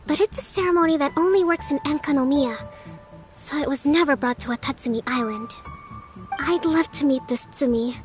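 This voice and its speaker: A woman playing a gentle young priestess who leads her people in a fantasy game, speaking in a measured, thoughtful, polite way. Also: high voice. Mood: pensive. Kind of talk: scripted fantasy game acting.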